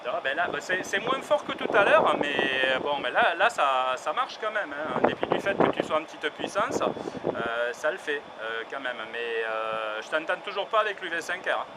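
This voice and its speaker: Man, slightly higher voice, hesitant and filler-heavy, radio test, noisy outdoor city recording. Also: sing-song, normal speed. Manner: hesitant, many fillers and restarts. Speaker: radio hobbyist testing handhelds. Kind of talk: casual radio check. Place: outdoors on a high building in the city. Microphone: handheld radio and camera.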